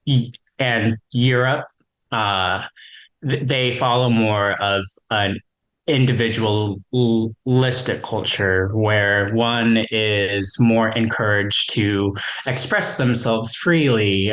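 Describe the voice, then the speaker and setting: A man's voice: on the low side, a bit bright, poor audio. A man who stutters, sharing a personal reflection as a webinar panelist, with frequent repeats and fillers.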